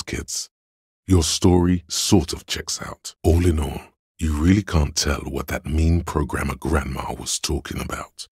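A detective's inner voice of pure logic for a video game. It is exceptionally low and heavily husky, reasoning coolly and deliberately. The sound is dry and close, with every deduction laid out in a measured, analytical way. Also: male voice, no room sound, exaggerated voice. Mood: measured, wry. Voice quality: very deep, gravelly, husky. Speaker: voice actor. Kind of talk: scripted video game voice-over, inner-voice narration.

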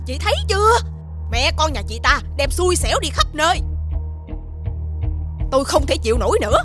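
Haughty, high-pitched village matron. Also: female voice, very animated, moderate pace, fairly bright tone, soft background music. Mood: outraged. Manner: accusing, imperious. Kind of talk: animated folktale dubbing.

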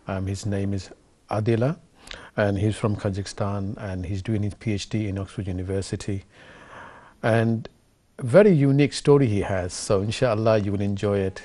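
A man's voice: lowish voice, dark tone. A man in his thirties hosting a studio interview, asking earnest, eager questions with many fillers. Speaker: host. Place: TV studio set.

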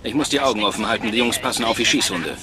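A man's voice: lowish voice, lively intonation, rapid-fire, loud music behind the voice, lightly acted. Man as a blunt, sardonic game hero. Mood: contemptuous. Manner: blunt, wry, coarse. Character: gruff, sardonic saboteur hero, hot-tempered adult man. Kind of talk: scripted video game dialogue.

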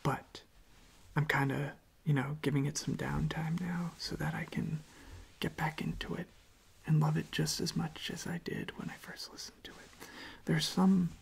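Whispering young man, soft ASMR. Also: on the high side, level tone, normal speed, creaky, husky. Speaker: ASMR video host.

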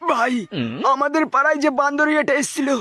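A man's voice: extremely high pitch, steady tone, fairly quick. A man voicing crude, goofy young cartoon characters in a clownish, exaggerated comic dub. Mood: lovestruck, crude. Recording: loud music behind. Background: loud music.

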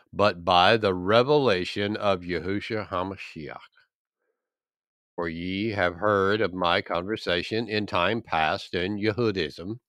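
Slow, low-voiced man reading scripture. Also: a bit bright, clear, resonant tone, dry recording, studio quality. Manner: measured, deliberate phrasing. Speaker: scripture reader. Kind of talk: slow solemn Bible reading.

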